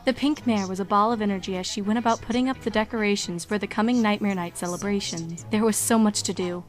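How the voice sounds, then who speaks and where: Woman reading a storybook as narrator, mid pitch, bit of music under it. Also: fairly even intonation, quick pace.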